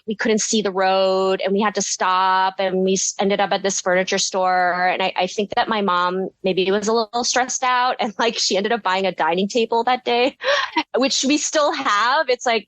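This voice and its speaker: A woman's voice: mid-range voice, fairly bright tone, no room sound. Guest ceramicist, woman in her thirties, chatty and giggly, recorded dry on a close mic. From United States. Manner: rambling, filler-heavy, giggly. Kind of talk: casual interview.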